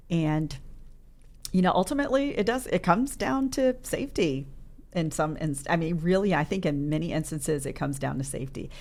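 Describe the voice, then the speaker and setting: A woman's voice: low-pitched, creaky. A middle-aged woman, a podcast guest, talking in a reflective way and searching for her words in a studio. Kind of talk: casual interview chat.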